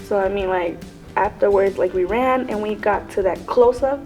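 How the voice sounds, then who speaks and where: A woman actress from Mexico speaking English with a Mexican accent, warm and laughing often, in a halting, casual way. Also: mid-range voice, faint music behind, rough recording. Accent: Mexican Spanish. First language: Spanish. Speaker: lead actress. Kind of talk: festival interview, conversational.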